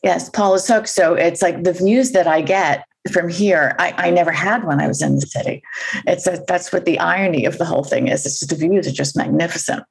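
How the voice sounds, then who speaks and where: A woman's voice: lowish voice, a little fast, creaky, breathy edge, poor audio. A woman from New York hosting an interview in a curious, chatty way. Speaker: host. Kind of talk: conversational interview.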